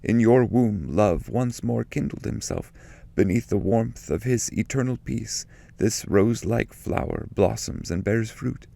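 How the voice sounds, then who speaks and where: A reverent holy man prays in an audiobook of epic poetry, acted by a male reader. His voice is lifted well above the reader's usual register, with a creaky edge. He declaims solemnly, pleading in measured lines. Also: lowish voice, dark tone, no echo, pitched above the performer's own voice, exaggerated voice. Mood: reverent praise. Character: reverent saintly holy man praying to the Virgin. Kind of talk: dramatic poetry reading, audiobook.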